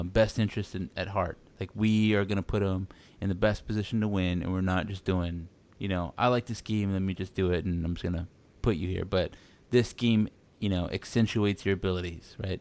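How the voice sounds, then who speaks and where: A man's voice: fairly low pitch, darkish tone. A man from Texas in casual sports chat, rambling with many restarts and fillers.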